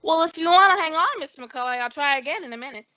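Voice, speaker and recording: Chatty harried switchboard lady, high sharp voice, old radio drama, kinda lo-fi. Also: expressive, dry recording. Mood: harried, businesslike. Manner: chatty, brisk, confiding. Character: gossipy, harried small-town telephone operator woman. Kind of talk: old-time radio suspense acting.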